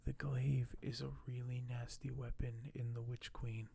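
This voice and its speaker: A man speaking softly and gently for an ASMR video, sometimes close to a whisper, with a halting delivery as host. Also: mid-range voice, little pitch movement, creaky, a little hiss or hum. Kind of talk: soft-spoken ASMR, partly whispered; reading aloud and commenting on collectibles.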